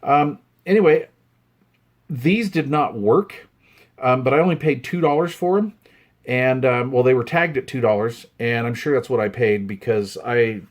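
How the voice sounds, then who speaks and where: A man's voice: mid-range voice, normal speed. Middle-aged Western American man, casual. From United States, Utah. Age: 50s. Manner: rambling, conversational. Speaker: hobbyist video host. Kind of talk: casual hands-on show-and-tell. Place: indoors at a wooden desk.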